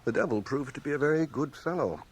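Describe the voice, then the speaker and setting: A male actor plays a reserved schoolmaster of sixty-one in a radio play. His delivery is dry and measured, but the intonation moves widely. There is faint hiss from an old cassette recording. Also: medium pitch, animated, close to an everyday voice. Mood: wry. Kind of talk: radio drama acting.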